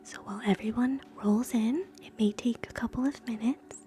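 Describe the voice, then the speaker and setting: A woman hosting live ASMR in a soft, breathy whisper, gentle and soothing, moving between guided relaxation and quiet chat. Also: on the high side, fairly even intonation, normal speed, slight background noise. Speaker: ASMR streamer, host.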